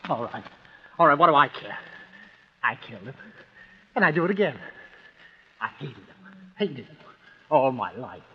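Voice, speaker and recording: An actor playing a bitter, tormented killer, speaking in halting, repeated, anguished phrases in an old-time radio drama. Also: male voice, medium pitch, big pitch swings, breathy edge. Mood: bitter hatred. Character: bitter, tormented man confessing, a brooding killer. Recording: muffled, hissy old radio recording.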